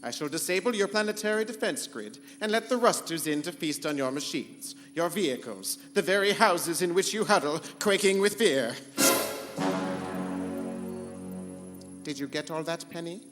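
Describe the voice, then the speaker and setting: A man's voice: fairly high pitch, animated, fairly quick, hardly any pauses, music in the background, natural voice. A man playing a pompous, condescending rogue ship computer with grand formal speech in a live pulp sci-fi radio comedy. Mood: menacing. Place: live convention stage. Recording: echoey hall, noise and hum.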